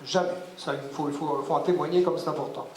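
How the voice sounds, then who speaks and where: A man answering off the cuff as a local official at a public debate, halting and repeating words, with emphatic asides. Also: on the high side, fairly even intonation. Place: public meeting hall. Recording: echoey hall, faint background ambience.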